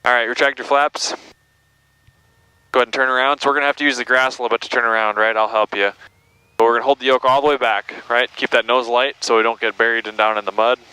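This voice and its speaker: A man's voice: medium pitch, level tone, fast, bright, forceful tone, clear, resonant tone, dry recording, rough recording. A man working as a flight instructor, giving encouraging step-by-step instructions and often checking in, over an aviation headset. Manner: encouraging, step-by-step coaching with tag questions. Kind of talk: hands-on cockpit instruction. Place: small airplane cockpit in flight and taxiing.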